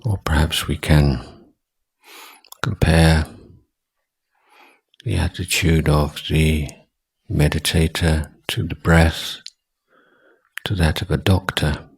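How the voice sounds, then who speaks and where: An extremely low-pitched man leads a guided meditation in a husky voice. He speaks slowly and deliberately, leaving long pauses between short phrases as he instructs. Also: fairly even intonation, poor audio. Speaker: Buddhist monk, meditation teacher.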